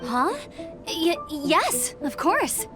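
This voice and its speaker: Eager, high-voiced young scout girl. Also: very animated, extremely slow, music in the background, heavily acted. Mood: friendly, eager. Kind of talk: video game cutscene acting.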